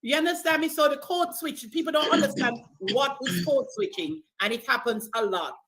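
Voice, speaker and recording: A woman's voice: high-pitched, normal speed, a bit bright, low-quality recording. A woman with a Jamaican accent, animatedly telling stories in a casual group chat and mimicking accents.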